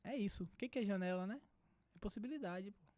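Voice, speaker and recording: A young man from Brazil talks as a podcast guest, earnest and reflective. His voice sits high for a man and the words come quickly. The studio sound is dry and close. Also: a little mellow, dry recording. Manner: earnest, reflective, many filler "né". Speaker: psychiatrist guest. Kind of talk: casual podcast conversation.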